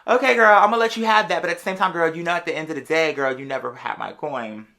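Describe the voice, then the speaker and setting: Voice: medium pitch, fast talker, gravelly, pushed and bright. A young adult with an American accent telling stories to viewers in a sassy, slangy, conversational way. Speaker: vlogger. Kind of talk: casual storytelling to viewers.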